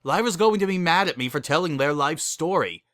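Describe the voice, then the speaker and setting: A man's voice: fairly high pitch, lively intonation, fairly quick, fairly bright tone, no room sound, clean studio sound, pitched above the performer's own voice. A man voicing an exasperated, easily sidetracked cartoon unicorn pony, plain-spoken and grumbling.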